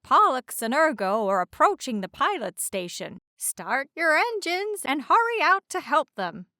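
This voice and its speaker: Storybook lighthouse, female, played by a woman: loud, bossy, urgent, commanding, raised pitch. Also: big pitch swings, measured pace, no room sound, studio quality, heavily acted. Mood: urgent, rousing. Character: bossy, watchful female lighthouse rousing a sleeper. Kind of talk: children's storybook character voice.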